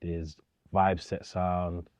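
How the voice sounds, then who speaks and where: A man's voice: sits low, level tone, drawn-out words, darkish tone, no echo. A young man, speaking informally with slang and repetition as a DJ being interviewed. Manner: informal, slangy, repeats himself. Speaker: DJ, interview guest. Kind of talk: casual documentary interview.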